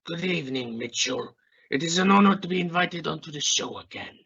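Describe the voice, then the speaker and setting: A man's voice: fairly high pitch, pushed and bright, slightly rough, heavily acted. Dull-sounding, brusque scientist, acted by a man. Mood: formal, courteous. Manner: formal, measured, slightly halting phrasing. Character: brusque male Russian space scientist and mission captain. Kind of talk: scripted radio play interview. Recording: dull lo-fi recording.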